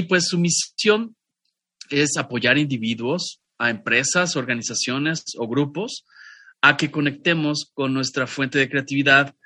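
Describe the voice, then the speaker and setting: Bright, dry-voiced Mexican man hosting. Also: on the high side, dry recording. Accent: Mexican Spanish. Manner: discursive, with frequent restarts and asides. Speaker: podcast co-host. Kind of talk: casual chat introducing a guest.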